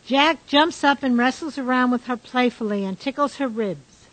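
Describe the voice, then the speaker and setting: Higher-pitched woman narrator. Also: moderate pace, poor audio. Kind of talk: scripted narration of stage directions.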